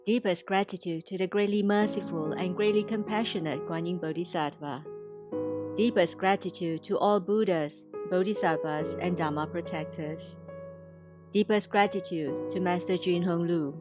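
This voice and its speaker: A woman from Indonesia reads a scripted inspirational testimony in a formal, measured way. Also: lowish voice, over music. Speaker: testimony speaker.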